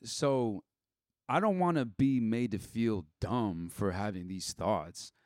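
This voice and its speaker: Young guy on a podcast, kinda deep voice, dry sound, blunt n candid, casual storytelling. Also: slow, a little mellow, dry recording. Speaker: podcast guest. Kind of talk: casual personal chat.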